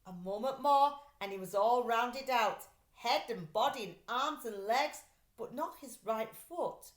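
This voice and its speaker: A woman reading a children's storybook aloud like a teacher, in an expressive, deliberate way. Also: fairly high pitch. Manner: animated, deliberate storytelling delivery. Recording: roomy, echoey sound.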